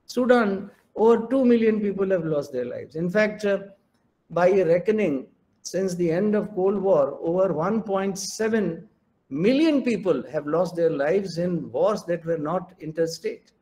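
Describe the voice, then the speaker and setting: An older Indian professor delivers a keynote lecture online, his English carrying an Indian accent and shading into his native Hindi. His voice sits high for a man, and he presses his points home with emphatic repetition. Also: animated, measured pace, mellow, low-quality recording. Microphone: online video call.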